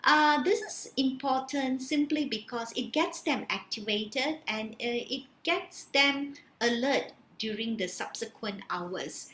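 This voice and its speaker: A woman's voice: high voice, takes it slowly, few pauses, fairly bright tone. A woman with a Malaysian accent giving a prepared academic presentation as a lecturer. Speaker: senior lecturer in English, conference presenter. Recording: roomy echo, faint background hiss.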